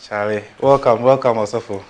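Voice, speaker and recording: A man hosts a relaxed interview in a low voice. He asks his questions plainly and keeps encouraging his guest, often with an approving wow. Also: brisk, highs cut off. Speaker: interviewer host. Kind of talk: casual interview chat.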